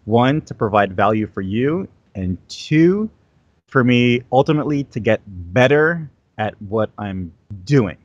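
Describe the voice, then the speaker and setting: A man's voice: medium pitch, lively intonation, unhurried, no room sound. Guy rambling in a casual chat, lots of likes and restarts, kinda muffled dry sound. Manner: rambling, many repeated words and fillers. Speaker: guest content creator.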